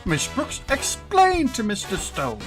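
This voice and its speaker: An actor playing a grouchy, pompous, flustered school principal in a halting manner for a 1950s-style radio comedy. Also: male voice, extremely high pitch, huge pitch swings, over music, heavily acted.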